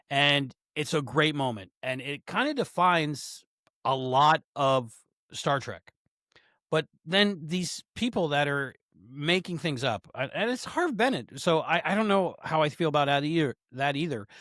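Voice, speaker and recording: A man with a slightly raised pitch talks casually on a podcast. He stumbles and repeats words and states his opinions firmly, and he sounds dry and close to the mic. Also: pushed and bright, dry recording. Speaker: podcast co-host.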